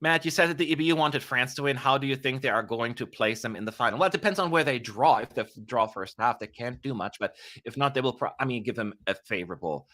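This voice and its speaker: A man's voice: mid-range voice, fast, bright, forceful tone. A young man hosting a live stream, chatting casually with playful asides and jokes. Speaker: livestream host.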